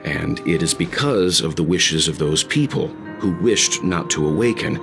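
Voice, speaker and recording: A man playing an earnest, philosophical traveler telling a story in a video game, deliberate and solemn with weighty pauses. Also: deep voice, lively intonation, over music. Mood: grave, earnest.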